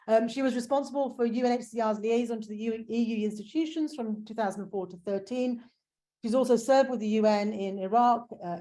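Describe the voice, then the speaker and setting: A woman moderating an online book launch, introducing the panelists in a measured way with frequent fillers. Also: mid-range voice, mellow. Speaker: webinar moderator. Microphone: video call.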